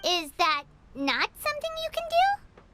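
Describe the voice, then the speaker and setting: Very high, perky little fairy companion. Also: female voice, huge pitch swings, measured pace, very noisy, exaggerated voice. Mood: chirpy, curious. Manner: exclamatory, refers to self in third person. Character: small perky childlike female fairy companion. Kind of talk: video game voice acting.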